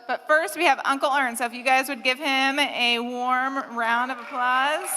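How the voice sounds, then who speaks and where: A woman's voice: high-pitched, fairly even intonation, moderate pace, long reverb tail, noisy background. A young woman hosting a church youth gathering, introducing speakers with a hesitant, um-filled delivery. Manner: hesitant, many "um"s. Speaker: youth ministry host.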